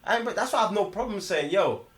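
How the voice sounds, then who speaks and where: A man with a London British accent chatting about films in an excitable, emphatic, slangy way, repeating his phrases. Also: high voice, quick pace. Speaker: film review panellist. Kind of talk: casual film chat. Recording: echoey room sound.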